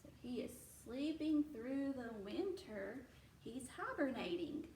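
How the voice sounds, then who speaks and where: A woman reading a story aloud to young children like a teacher, expressively, pausing to explain and ask questions. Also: high-pitched. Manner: expressive, slow, explaining as she reads. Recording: roomy, distant mic, faint noise.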